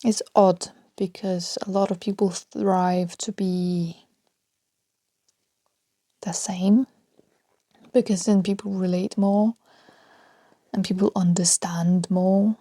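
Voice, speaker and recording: A woman with a Danish accent talks unhurriedly through her unscripted podcast, letting her voice sink into a breathy half-whisper again and again. Her tone is mellow and low-key. The sound is close and dry, with no room around it. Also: fairly even intonation, takes it slowly, long pauses, dry recording. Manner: rambling, soft, often half-whispered, laughs between thoughts. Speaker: podcast host. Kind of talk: unscripted solo podcast rambling.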